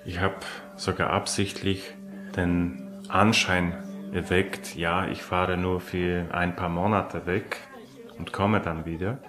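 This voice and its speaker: Slow, low-voiced man reflecting. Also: flat delivery. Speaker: interviewee telling own life story. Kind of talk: slow reflective personal recollection. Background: music.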